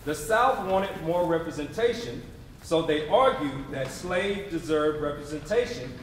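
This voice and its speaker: Man, higher-pitched voice, sardonic and emphatic, theatrical reading, very echoey distant mic, crowd responding. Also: lively intonation, unhurried, slight background noise. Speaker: readers theatre performer. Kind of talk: dramatic staged reading with comedic sarcasm. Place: echoey indoor venue with live crowd.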